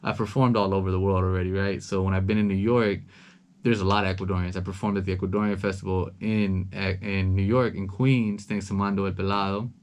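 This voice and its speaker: A young man from Los Angeles, a rapper, chatting casually as an interview guest, talking in a relaxed, rambling way with many ums and repeated words. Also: deep voice, fast.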